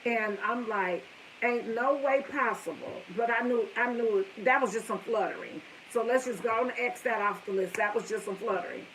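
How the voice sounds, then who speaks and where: A woman's voice: a little high, normal speed, a bit bright, slight background noise, poor audio. A woman in her fifties tells a story to her viewers in a chatty, rambling way, often breaking off to talk to her family. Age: 50s. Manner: chatty, wanders off topic, talks to viewers and family. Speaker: live streamer telling a story.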